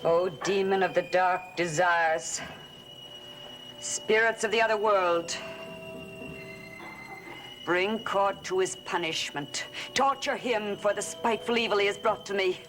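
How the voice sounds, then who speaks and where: Gothic TV soap: vengeful female conjurer played by an actress, slow incantatory delivery, theatrical, music underneath. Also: mid-range voice, a bit bright, close to an everyday voice, low-quality recording. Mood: vengeful invocation. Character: vengeful woman invoking demons in a curse. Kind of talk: 1960s-70s gothic soap opera acting.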